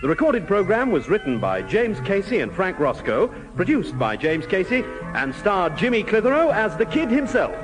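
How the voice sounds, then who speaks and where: A male radio announcer reading scripted credits. His voice is high and lively in pitch, over prominent music and audience noise. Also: moderate pace, low-quality recording. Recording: music and audience applause behind.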